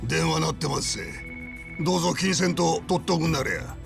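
A man's voice: fairly high pitch, quick pace, creaky, music in the background. A man playing a menacing, mock-polite Kansai yakuza boss in a video game, drawling and taunting in Kansai dialect. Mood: mock-polite menace. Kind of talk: dramatic video game cutscene acting.

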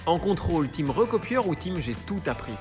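A man hosting from off camera, reading playful this-or-that questions at a brisk clip. His voice moves up and down with lively intonation. Faint music plays behind him. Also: fairly high pitch. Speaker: interviewer host. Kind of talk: reading quick-fire questions.

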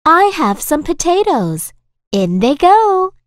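Kids' cartoon: eager, polite young village miss, higher-pitched, lilting and unhurried. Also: female voice, sing-song, no room sound, heavily acted. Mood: concerned, helpful. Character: genteel, cheerful young village lady, eager and curious. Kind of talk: animated children's story acting.

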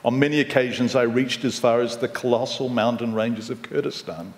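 A man interviewing a guest on stage who reads passages aloud in a steady, earnest voice. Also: medium pitch, a little fast. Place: live event in a hall. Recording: distant mic in a reverberant hall.

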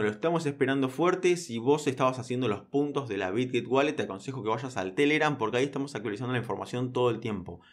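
A man with an Argentine accent, walking viewers through a crypto platform in a casual, direct tutorial voice. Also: medium pitch. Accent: Argentine Spanish. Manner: quick, direct second-person explainer. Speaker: crypto YouTuber. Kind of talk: screen-recorded tutorial.

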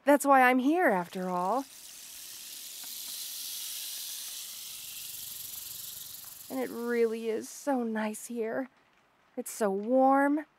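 A woman's voice: a little high, slow pace, slight background noise. A woman voicing an obsessive, lovestruck rattlesnake lamia in an intimate audio roleplay, gushing and hesitant with coy stammers. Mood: chatty, admiring. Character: obsessive lovestruck female rattlesnake lamia, shy yet unsettling.

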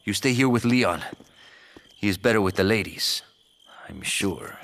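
A male actor playing a cocky, roguish ally in a video game cutscene, with an expressive, sardonic way of talking. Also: lowish voice, a little slow, creaky, slight background noise. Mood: urgent.

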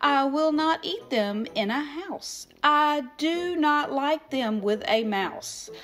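A woman voicing a stubborn, exasperated storybook grouch with emphatic, drawn-out protests. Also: high-pitched, lively intonation, fairly bright tone, pitched above the performer's own voice, exaggerated voice. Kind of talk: expressive children's picture book read-aloud.

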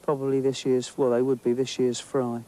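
A man's voice: medium pitch, monotone, normal speed, dark tone, low-quality recording. A middle-aged man with an English accent, talking enthusiastically to camera as he fishes and explores the bank of a lake outdoors. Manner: chatty and enthusiastic, thinking aloud with small restarts. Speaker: angling presenter. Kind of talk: on-location nature commentary while fishing.

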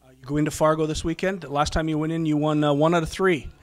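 Brief: male team-TV interviewer, fast-talking, a touch high, upbeat. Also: fairly even intonation. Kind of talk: sports interview questions.

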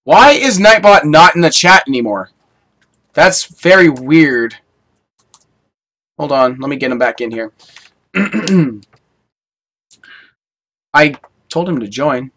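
Young man yelling through a horror game. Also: a little high, expressive, slow pace, pauses often. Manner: swears a lot, yells in panic. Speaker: streamer. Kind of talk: live horror game commentary. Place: small room indoors. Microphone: headset.

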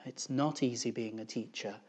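A calm, sympathetic man from a children's storybook, acted out by a man reading aloud. He speaks quietly and in a measured way, at a middling pitch. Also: lightly acted. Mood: sympathetic, subdued. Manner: subdued, measured.

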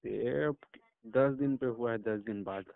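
Heard over a narrow phone line, this is a man with a smooth, clear voice at a middling pitch. He talks as a patient in a consultation call. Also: measured pace. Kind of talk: phone conversation. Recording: tinny phone call recording.

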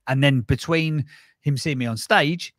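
A man in his thirties, a podcast agency founder, giving direct, animated advice in a casual interview over a studio mic with headphones. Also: a little high, a little slow, no room sound. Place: small room with acoustic foam panels. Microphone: studio mic on arm, headphones.

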